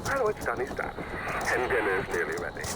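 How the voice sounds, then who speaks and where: An actor playing a flamboyant, smug tyrant king who mocks and threatens at a drawn-out, theatrical pace. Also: fairly low pitch, huge pitch swings, heavy background noise. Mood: mocking. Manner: mocking, theatrical, drawn-out menace. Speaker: game villain. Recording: hall reverb with loud music.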